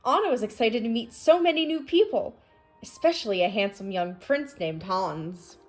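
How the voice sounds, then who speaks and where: A woman reads a children's storybook aloud in a high, very animated voice. Her delivery is expressive and scripted, made for young listeners. Music plays along with her. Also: normal speed. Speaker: storybook reader.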